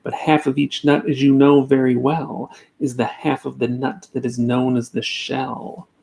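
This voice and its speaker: A man's voice: medium pitch, flat delivery, measured pace, vocal fry, darkish tone, rough recording. A man voicing a small, bossy and gleeful bug in a bedtime storybook reading. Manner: snapping, commanding, mocking. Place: child's bedroom at bedtime.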